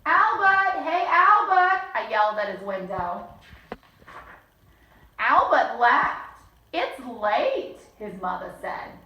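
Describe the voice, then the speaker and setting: A woman's voice: a little high, sing-song, slow pace, pauses often, highs cut off. A woman reading a children's bedtime story aloud as host, chatting between lines and acting out the characters. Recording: echoey room, mic at a distance.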